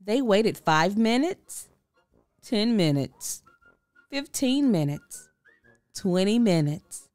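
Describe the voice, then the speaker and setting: A woman reading a children's story aloud expressively, with theatrical, deliberate emphasis. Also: mid-range voice, lively intonation, a little mellow, no room sound, soft background music. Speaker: storybook reader.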